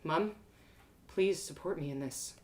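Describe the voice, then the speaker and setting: A woman's voice: deep voice, unhurried. A woman voicing an earnest, sensitive young woman adventurer in a fantasy story, speaking plainly. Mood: earnest pleading.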